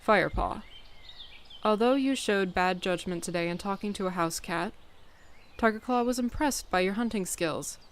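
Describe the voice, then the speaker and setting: A woman voicing a measured, commanding female cat who leads her clan in an audiobook fan dub. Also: mid-range voice, lightly acted. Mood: calm, approving. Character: authoritative mature female cat clan leader, measured and stern.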